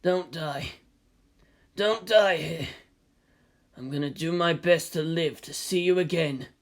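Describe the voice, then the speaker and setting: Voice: medium pitch, slow. A narrator voicing a protective teenage boy, earnest and plain-spoken, in an audio story reading. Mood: tender. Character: protective, gruff-hearted teenage boy soldier.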